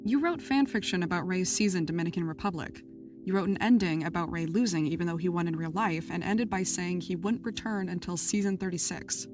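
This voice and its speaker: Woman acting as a blunt probing investigator, lowish voice, bit off the mic with music clearly behind her. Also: brisk, recorded from a distance, close to an everyday voice. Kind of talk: naturalistic audio drama dialogue.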